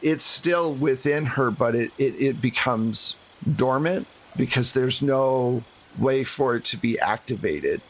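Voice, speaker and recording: A man's voice: mid-range voice, a little slow, low-quality recording. A man acting as game master, improvising tabletop game narration in a conversational way.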